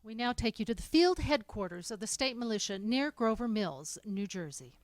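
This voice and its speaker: A woman playing a brisk bulletin announcer. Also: fairly high pitch, lively intonation, dry recording, close to an everyday voice. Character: news announcer reading bulletins. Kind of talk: 1930s radio drama acting.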